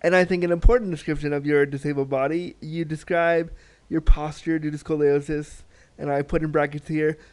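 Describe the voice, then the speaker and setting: An enthusiastic man hosts an interview, asking empathetic questions in a casual, conversational way. His voice is smooth and resonant, pitched a bit high for a man, and he sometimes acts out inner thoughts in quotes. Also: little pitch movement, fairly quick, a little mellow.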